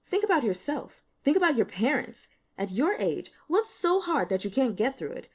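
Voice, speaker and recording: A woman's voice: sits high, sing-song, moderate pace, darkish tone, slightly rough, pitched above the performer's own voice, heavily acted. A woman voicing a brash, cocky male boss in an audiobook, flippant and casually bossy as he teases and lectures. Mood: awkward coaxing.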